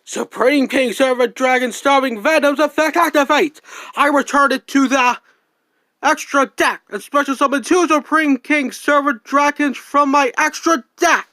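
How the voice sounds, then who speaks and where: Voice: high-pitched, measured pace, pushed and bright, dry recording. An amateur voice actor declaiming card game duel lines in a theatrical anime fan-dub style.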